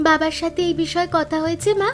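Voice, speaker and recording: A woman voicing an earnest young village daughter, hesitant but helpful, in an animated cartoon story. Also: extremely high pitch, quick pace, soft background music.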